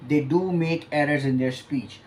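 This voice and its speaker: A man teaching an exam-prep lesson, explaining points emphatically and stretching key words between pauses. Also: a little high, a little mellow, a little hiss or hum. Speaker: IELTS teacher.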